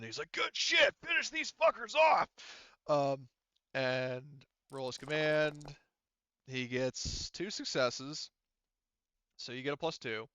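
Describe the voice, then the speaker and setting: A man acts as game master for an online tabletop game. His voice is bright and smooth, and he talks casually, swearing and muttering as he works through rules. The recording is dry and a little muffled. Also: medium pitch, dry recording. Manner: casual, profane, mutters to himself.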